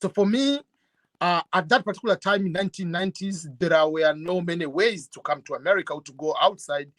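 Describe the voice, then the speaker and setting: Earnest Tanzanian man, measured answers. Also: high-pitched, a bit bright, no room sound. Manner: earnest, measured, with fillers and restarts. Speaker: guest YouTuber. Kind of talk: interview answers, storytelling.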